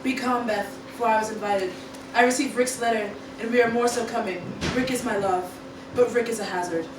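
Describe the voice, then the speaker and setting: Echoey, calm alien hive mind played by a woman. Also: fairly high pitch, a little fast, close to an everyday voice. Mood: calm, composed. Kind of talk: amateur Shakespeare-parody radio play acting. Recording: roomy, distant, clearly noisy room recording.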